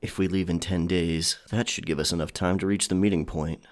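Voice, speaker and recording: A man's voice: sits low, fairly even intonation, a little fast. A man playing a cool, businesslike pirate in an audio drama, speaking in a terse, commanding, matter-of-fact way. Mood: planning, firm. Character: Grayson, cool, businesslike male pirate, wryly smiling. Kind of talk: audio drama character acting.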